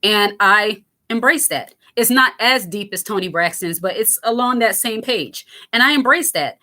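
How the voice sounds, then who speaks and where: Raspy woman in her thirties, bright tone, breezy and frank, answering comments. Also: lively intonation, normal speed. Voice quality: raspy, lower voice. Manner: fillers and self-interruptions. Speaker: host.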